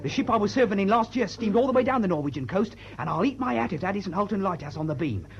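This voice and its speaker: A man's voice: high-pitched, expressive, fast. A man playing a bold, cheeky British prisoner seaman in a wartime radio play, slangy and insistent, on an old recording. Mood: excited. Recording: echoey, muffled, noisy old recording.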